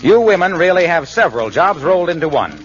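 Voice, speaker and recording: A fairly high-voiced male announcer delivers an earnest, formal 1940s radio sponsor message. His pitch moves freely over soft music on a muffled old recording. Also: very animated, fast.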